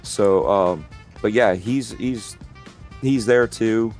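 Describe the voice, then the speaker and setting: Casual male podcast co-host, lower voice, halting and repetitive, faint music under him. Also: a little mellow. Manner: rambling, repeats words while thinking. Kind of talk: casual chat.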